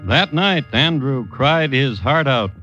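A man narrating a 1950s radio drama in a wry, formal, measured storytelling manner. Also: medium pitch, expressive, over loud music. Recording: dull old radio recording.

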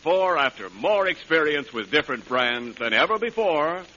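A man's voice: on the high side, very animated, moderate pace, pushed and bright. A male announcer delivering scripted advertising narration in a polished, emphatic 1940s radio style. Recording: dull, slightly noisy old radio recording.

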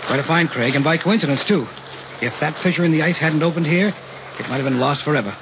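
An actor playing a determined, curious professor leading an expedition in an old-time radio drama, grave and earnest in delivery. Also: male voice, on the high side, fast talker, background noise, lightly acted. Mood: tense, intrigued. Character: determined, curious male scientist professor, middle-aged expedition leader. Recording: muffled vintage radio recording.

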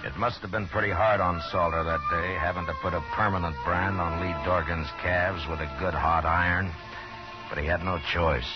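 An American actor playing a steady, world-weary frontier lawman in a 1950s radio Western, measured, grave and laconic. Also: deep voice, close to an everyday voice. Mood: dry narration. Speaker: lead actor and narrator. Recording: muffled old 1950s radio recording.

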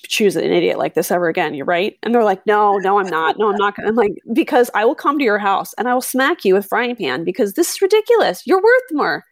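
A middle-aged woman, a podcast host, telling stories in a chatty, animated way with lots of fillers. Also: mid-range voice, dry recording, studio quality. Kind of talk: conversational interview.

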